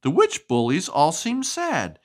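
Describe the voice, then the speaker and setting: A woman reading a storybook aloud to a young child in an expressive, sing-song way. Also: low voice, a little slow. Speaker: storybook reader.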